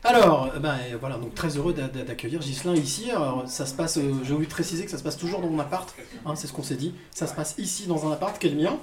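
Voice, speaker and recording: A man's voice: fairly high pitch, expressive, quick pace, few pauses, reverberant, crowd noise. A man hosting a casual interview in his apartment, talking with many fillers and restarts. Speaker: host.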